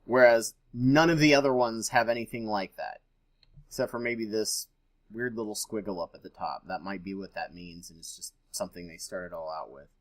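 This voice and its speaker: A man's voice: fairly low pitch, moderate pace. A man reading aloud and adding his own informal commentary as host, with a skeptical, dryly sarcastic manner.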